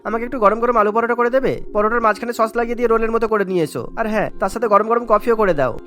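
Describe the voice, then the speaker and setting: Food-craving young husband for a cartoon, male actor: wheedling, coaxing, extremely quick delivery. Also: very high-pitched, fairly bright tone. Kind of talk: animated cartoon story voice acting.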